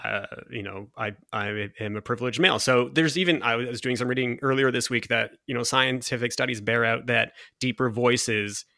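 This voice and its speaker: A man with a deep voice, talking thoughtfully in a casual conversation, with frequent restarts. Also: normal speed, vocal fry, few pauses, bright, forceful tone, dry recording, studio quality. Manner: thoughtful, restarts often, reads quotes aloud.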